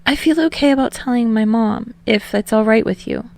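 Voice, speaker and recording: Casting: female reader as excitable lovestruck young man for fan fiction audiobook, raised pitch, rambling and eager. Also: normal speed, gravelly, no echo. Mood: shyly affectionate. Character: energetic, flustered, eager young man in love.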